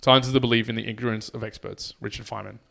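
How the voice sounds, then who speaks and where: Easygoing Australian podcast host. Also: male voice, fairly low pitch, fast talker, gravelly. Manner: relaxed, chatty, says "mate" often. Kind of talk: casual podcast chat, reading listener messages.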